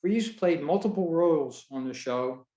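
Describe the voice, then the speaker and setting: A man hosting an online meeting, giving an informal presentation in a measured, halting way over a video call. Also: fairly high pitch, lively intonation, mellow. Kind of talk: informal spoken presentation from notes. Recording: roomy, echoey video-call audio.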